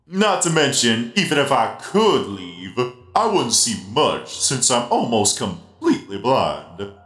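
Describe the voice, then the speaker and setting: A man's voice: mid-range voice, sing-song, takes it slowly, fairly bright tone. Man voicing a giant friendly robot spider DJ, roomy. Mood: genial, explanatory. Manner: genial, playful, explanatory. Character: giant friendly half-blind animatronic spider DJ. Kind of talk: comic dub character acting. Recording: roomy echo, music behind.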